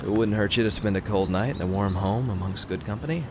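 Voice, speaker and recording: A man acts out a weary crime-gang family man in a radio drama. His low voice is hesitant and conversational, reluctant about the work. A clock ticks in clearly noisy ambience behind him. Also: quick pace, natural voice. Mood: subdued, friendly. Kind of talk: naturalistic radio play acting.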